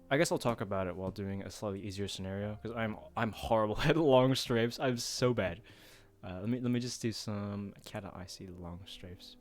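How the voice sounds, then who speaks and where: A man chatting casually and informally on a livestream as he plays, with a self-deprecating manner. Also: fairly low pitch. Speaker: streamer. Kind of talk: casual livestream chat while gaming.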